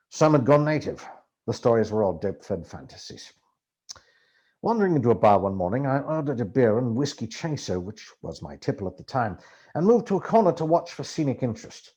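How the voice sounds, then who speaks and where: A man reading a book aloud as expressive, theatrical first-person narration. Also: mid-range voice. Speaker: amateur audiobook reader.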